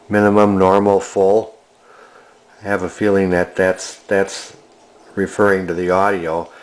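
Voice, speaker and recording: Slow, low-voiced man, casually musing. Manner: hesitant, musing, halting delivery. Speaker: vintage electronics collector presenting a find. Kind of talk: casual unscripted walk-through commentary.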